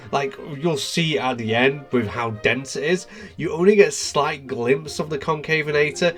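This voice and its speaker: A man with a cold, sounding bunged up as he gives a chatty, easygoing voiceover for a park-building game video. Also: mid-range voice. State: congested from a cold. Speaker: gaming video creator.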